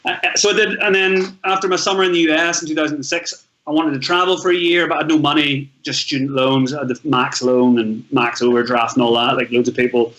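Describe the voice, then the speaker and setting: A man's voice: fairly high pitch, steady tone, fast talker, a bit bright, muffled recording. Chatty Northern Irish man in his thirties. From Northern Ireland. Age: 30s. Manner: chatty, rambling, frequent restarts. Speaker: podcast guest, travel blogger. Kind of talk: casual storytelling interview.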